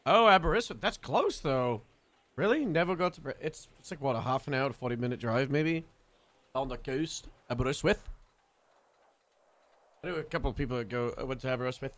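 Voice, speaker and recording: Chatty young streamer from North Wales. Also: male voice, medium pitch, expressive, fairly quick, a bit bright. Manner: chatty, rambling, self-correcting asides. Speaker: roleplay streamer. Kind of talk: casual chat while streaming a game.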